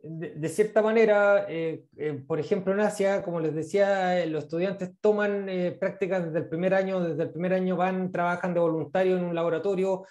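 A man in his thirties with a Chilean accent, giving casual advice on a video call, fast and full of fillers and repeated words. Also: high voice, steady tone, a little mellow, dull audio. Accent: Chilean Spanish. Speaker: guest speaker on international internships. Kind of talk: casual advice in an online talk. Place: small room indoors. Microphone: video call, earphone mic.

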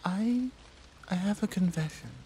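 Casting: actress as caring tigress monk, ASMR roleplay, warm dark tone, unhurried, hesitant, intimate and earnest delivery. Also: female voice, medium pitch, lively intonation, a little hiss or hum, lightly acted. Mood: reassuring. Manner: gentle, hesitant, earnest, with pauses. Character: caring, conflicted tigress warrior monk woman.

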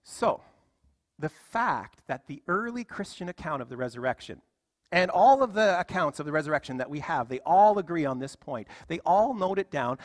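Lively, animated male preacher. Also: a little high, no echo. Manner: animated, emphatic, rhetorical questions. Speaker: pastor. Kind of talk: sermon. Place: church stage with music stands.

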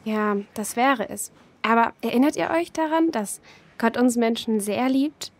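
A woman playing a gentle, patient grown-up who comforts and explains carefully to children in an audio drama. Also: a little high, measured pace. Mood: gentle, serious.

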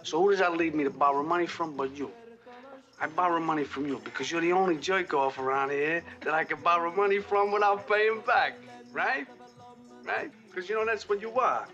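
A sneering small-time hustler with a bright, sharp, slightly high voice. He mocks and insults in a streetwise way. The sound is dull, with faint music. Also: a little fast.

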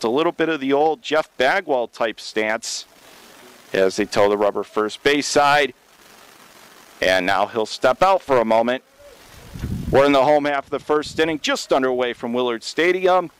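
An American man calling baseball play-by-play for radio from a stadium press box, in a measured, descriptive way with plenty of detail. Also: mid-range voice, a bit bright, clear, resonant tone. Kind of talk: live sports radio play-by-play commentary.

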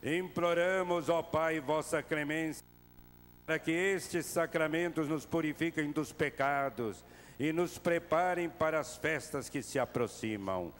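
An older bishop in his sixties leads the closing prayers and blessing of a Mass, talking at an unhurried, measured pace. His voice sits mid-range, carried by a handheld mic through a vast covered hall with a long ringing reverb and faint background hiss. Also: fairly high pitch. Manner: slow, measured and familiar with the crowd. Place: huge covered hall full of worshippers. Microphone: handheld microphone.

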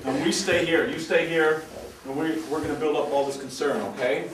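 A middle-aged man gives emphatic direction to actors in rehearsal. His slightly high voice is picked up from a distance in a very echoey room with some noise. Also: steady tone, brisk. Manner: emphatic, acts out lines while instructing. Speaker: radio drama director. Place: room in a large house.

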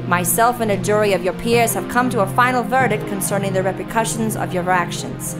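Woman playing a stern judge over loud music. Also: mid-range voice, a little fast, natural voice. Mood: solemn. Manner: formal, authoritative. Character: stern, commanding female courtroom judge. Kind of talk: audio drama acting.

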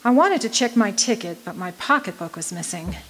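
A woman acts as a lost, bewildered lady traveller in a radio play. She recounts her situation in measured first-person storytelling, at a medium pitch. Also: expressive, slightly rough. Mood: bewildered, reflective. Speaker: actress. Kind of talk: radio drama monologue.

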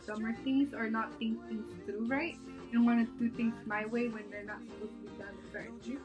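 A woman's voice: fairly high pitch, steady tone, fairly quick, music in the background. A woman in her twenties chatting casually and laughing often as she hosts a sister tag video from her bedroom. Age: 20s. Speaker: YouTube channel host. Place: bedroom, seated on a couch.

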